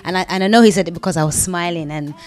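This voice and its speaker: A young woman with a London accent chats freely in an interview. Her voice sits low and she tells her stories with plenty of restarts. Soft music runs faintly behind her. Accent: London English. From London, England. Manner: chatty storyteller, quick to laugh, talks through restarts. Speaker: singer being interviewed. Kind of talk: casual interview chat.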